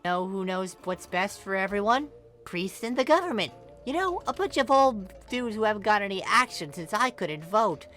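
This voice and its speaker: A woman's voice: medium pitch, lively intonation, moderate pace, fairly bright tone, soft background music, voice pushed up, lightly acted. A woman voicing a sweet, naively pious young woman, prim and cheerfully preachy. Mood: sweetly preachy.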